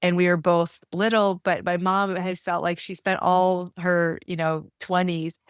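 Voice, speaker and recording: A woman's voice: fairly low pitch, clear, resonant tone, no room sound, clean studio sound. A woman in her forties, an interview guest from Iran, telling a personal story in an earnest, conversational way. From Iran, moved to the US as a child. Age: 40s. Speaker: interview guest, law professor.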